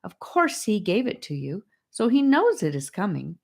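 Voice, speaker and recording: A woman's voice: medium pitch, big pitch swings, moderate pace, gravelly, voice pushed up. A woman voicing a bubbly, theatrical little girl who talks in a sing-song, dramatic way. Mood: theatrical, giddy.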